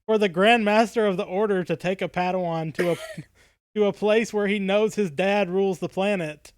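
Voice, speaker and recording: A man co-hosting a podcast in casual, analytical discussion, halting and repeating words as he builds a point. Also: sits high, fairly even intonation, clean tone, no echo, studio quality. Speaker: podcast co-host.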